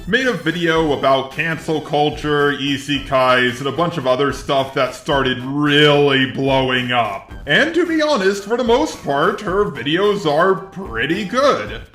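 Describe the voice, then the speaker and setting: A man's voice: a little high, sing-song, measured pace, few pauses, a bit bright. A man giving casual video commentary with a sardonic manner. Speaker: YouTube commentator. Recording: roomy echo, music behind.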